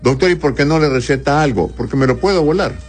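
A man hosting a call-in medical advice show, calmly explaining conditions and reading listeners' messages aloud. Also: on the high side, fast, husky, faint music behind. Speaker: radio doctor answering listener questions.

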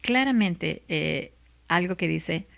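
A middle-aged woman, a lawyer and legal commentator, speaking as an interview guest in an animated, heartfelt way, laughing often and repeating words for emphasis. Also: on the low side, takes it slowly, vocal fry. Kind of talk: faith-based interview answer.

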